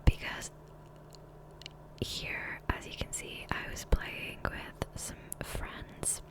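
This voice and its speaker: A woman speaking in a soft, breathy whisper, rambling casually and unhurriedly in an ASMR gameplay voiceover on a close microphone. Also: drawn-out words, low-quality recording. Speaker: ASMR creator. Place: voiceover recorded separately from gameplay. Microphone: Blue Yeti USB microphone, close.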